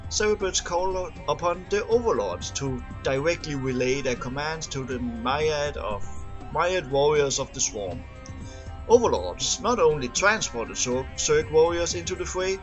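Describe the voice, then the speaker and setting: A man's voice: fairly high pitch, sing-song, a little slow, fairly bright tone, muffled recording. A man reading scripted lore aloud as an amateur narrator, sometimes stumbling and repeating words. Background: music under the voice.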